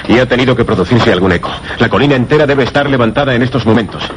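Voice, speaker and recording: An actor with a Castilian accent playing a puzzled, rational researcher in a suspense radio play, reasoning aloud with some hesitation. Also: male voice, medium pitch, very fast, natural voice. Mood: uneasy, reasoning. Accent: Castilian Spanish. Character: puzzled, rational male researcher, narrator's companion. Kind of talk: Spanish suspense radio drama dialogue. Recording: noisy radio drama with background ambience.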